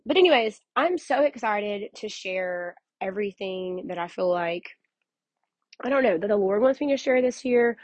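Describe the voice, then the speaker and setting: A young woman, hoarse from losing her voice, hosting a casual podcast in a chatty, candid way with many fillers. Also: medium pitch, dry recording, muffled recording. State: hoarse, recovering from lost voice. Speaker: podcast host and author. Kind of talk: casual solo podcast talk.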